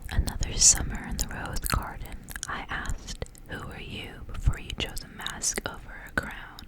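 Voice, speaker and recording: A young woman speaking in a soft, breathy whisper as an ASMR creator. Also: moderate pace. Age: young adult. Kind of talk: whispered ASMR, casual rambling and story reading.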